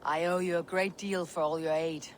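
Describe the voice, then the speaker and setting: A woman's voice: fairly low pitch, steady tone, normal speed, slight background noise, lightly acted. Woman acting resolute Viking warrior woman, hooves behind. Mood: earnest, measured. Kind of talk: video game drama acting. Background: horse hooves and outdoor ambience.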